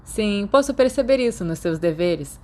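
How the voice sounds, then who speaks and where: Female narrator as older male teacher, cheerful, energetic, kindly, gently teasing, audiobook character. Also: high-pitched, moderate pace. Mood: warm, amused. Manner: warm, teasing, concerned.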